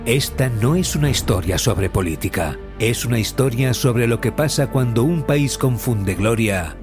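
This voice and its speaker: Male promo narrator, dramatic and scripted, expressive mid-range voice over loud music. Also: medium pitch, a little slow. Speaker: trailer narrator. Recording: prominent music under voice-over.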